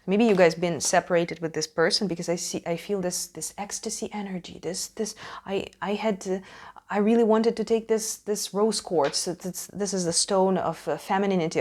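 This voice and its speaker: A woman from Ukraine, a native Russian speaker with a Russian accent, casually hosting a tarot reading in a halting, emphatic way, searching for words. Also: mid-range voice. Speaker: tarot reader hosting a channel.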